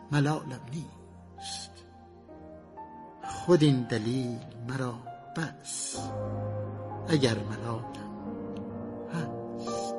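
A man reciting a poem slowly and dramatically, letting each line end fade into breath. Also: medium pitch. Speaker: poetry reciter. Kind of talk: slow dramatic poetry recitation over music.

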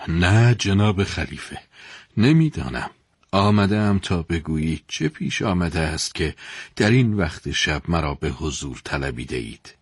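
A man's voice: on the low side, very animated, dry recording, clean studio sound. A man playing an anxious, wise judge in a dramatised historical story, grave, formal and deferential in manner. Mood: respectful, curious. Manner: grave, formal, worried delivery.

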